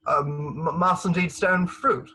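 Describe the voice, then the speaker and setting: A man acting a flustered, gallant middle-aged colonel in a comic Victorian farce, stammering and hesitant, with a husky voice. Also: on the high side, steady tone, slow, sharp tone. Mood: baffled, wary. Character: flustered, gallant English army colonel, bumbling and reluctant. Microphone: remote home recording over video call.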